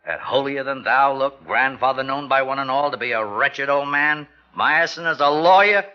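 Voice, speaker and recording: A man's voice: medium pitch, normal speed, close to an everyday voice. An actor playing a sly, mocking newspaper reporter who relishes his scoop, in 1940s radio drama style. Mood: knowing. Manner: mocking, insinuating, chuckling. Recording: dull, lo-fi vintage radio recording.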